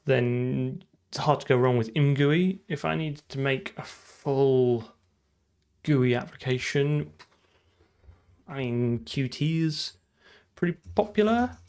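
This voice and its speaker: Man with a gravelly edge, streaming casually. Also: mid-range voice, a little mellow. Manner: informal, thinking aloud. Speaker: streamer and game programmer. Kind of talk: casual live coding stream chat.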